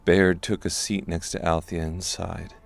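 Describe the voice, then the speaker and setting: A man reading scripted narration for a dark fantasy audiobook. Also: sits low, level tone, a little slow, gravelly.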